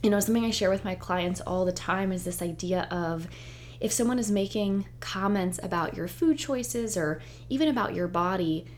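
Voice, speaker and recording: A woman hosting her own podcast as a dietitian, a little hoarse and close to losing her voice, talking in a chatty, upbeat and reassuring way. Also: medium pitch, moderate pace, a little hiss or hum. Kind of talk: solo podcast intro monologue.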